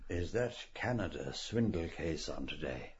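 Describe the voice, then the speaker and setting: A man voicing a subdued gentleman who murmurs over newspapers in a dramatised novel reading. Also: expressive, unhurried, lo-fi sound, slight background noise. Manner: low murmuring.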